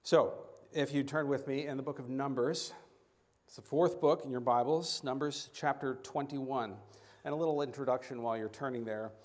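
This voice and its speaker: A man preaching a sermon as a guest speaker, halting and often repeating words, with self-deprecating asides. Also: mid-range voice, a little mellow.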